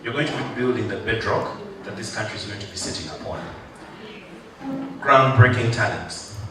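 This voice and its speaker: A man's voice: fairly low pitch, normal speed, music in the background. Man giving a rousing speech in an echoey hall. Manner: rousing, joking, call-and-response with the audience. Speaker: guest speaker. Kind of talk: motivational graduation speech. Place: large hall at a ceremony. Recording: echoey hall, mic far off, background noise.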